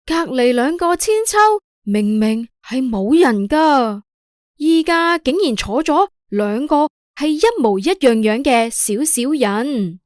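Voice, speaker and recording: Casting: Hong Kong female narrator for children's stories, slow delivery, fairly high voice. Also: animated, a little mellow, dry recording, studio quality. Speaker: storyteller narrator. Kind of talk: scripted children's story narration.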